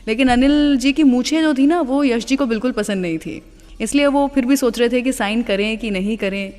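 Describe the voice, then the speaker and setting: A woman's voice: on the high side, a little fast, a little mellow. An Indian woman presenting a radio show, telling stories in a conversational way and switching between Hindi and English phrases. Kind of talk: scripted radio presenting with film trivia between songs. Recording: long reverb, music underneath.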